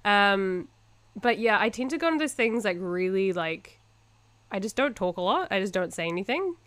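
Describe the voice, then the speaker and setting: A woman's voice: medium pitch, big pitch swings, normal speed, a bit bright, clean tone, no room sound. A young woman from New Zealand with a New Zealand accent, co-hosting a podcast in casual, chatty talk and laughing easily. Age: young adult. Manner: chatty, laughs often, self-mocking. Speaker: podcast co-host. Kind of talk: casual chat.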